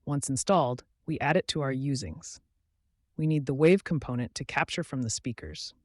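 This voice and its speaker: A man narrating a scripted tutorial as its host, in a measured, instructional way. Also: mid-range voice, no echo, studio quality.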